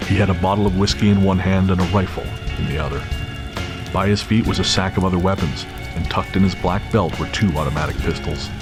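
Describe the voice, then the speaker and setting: A man's voice: deep voice, steady tone, fairly quick, over music, lightly acted. A man reading as the grave storyteller of a suspense audio drama, in scripted dramatic narration. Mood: grave, suspenseful.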